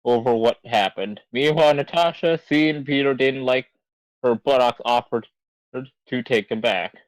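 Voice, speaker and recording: A man's voice: medium pitch, moderate pace, dry recording, poor audio. A man reading aloud casually on a livestream, adding offhand, muttered comments. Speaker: livestream co-reader.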